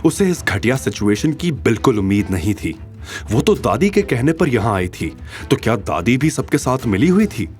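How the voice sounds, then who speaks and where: A man's voice: medium pitch, big pitch swings, moderate pace, darkish tone. A man narrating a scripted audio drama story in a dramatic style. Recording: faint music behind.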